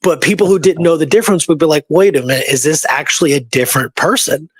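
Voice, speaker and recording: A man's voice: on the high side, fast talker, husky, dry recording. A man co-hosting a casual talk show about research, telling things conversationally and often checking in with the listener. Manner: animated storyteller, often tags points with "right?". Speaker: show co-host and history researcher. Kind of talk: casual chat.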